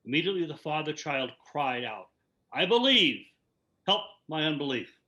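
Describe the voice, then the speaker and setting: Bright, forceful man reading scripture. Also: fairly high pitch, big pitch swings, normal speed, muffled recording. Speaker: scripture reader. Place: at home, on a video call. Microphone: video call.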